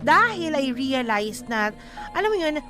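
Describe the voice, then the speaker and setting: Animated Filipino woman radio host over music. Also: high voice, a little slow. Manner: animated, emphatic, code-switches with English. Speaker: radio host giving love advice. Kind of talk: casual radio banter and advice. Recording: music bed behind the voice. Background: background music.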